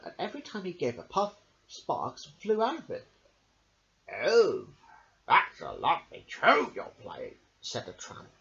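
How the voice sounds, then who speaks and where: A man reading a children's picture book aloud in a lively sing-song storytelling voice, breaking off now and then for casual asides. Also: a little high, dull audio.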